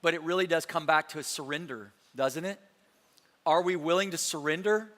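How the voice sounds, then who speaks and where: Bright, forceful man preaching. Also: fairly high pitch, normal speed. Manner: energetic, rhetorical questions, calls for response. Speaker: pastor. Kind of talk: Easter sermon, dialogue with co-pastor. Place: large church auditorium. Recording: reverberant hall with faint music.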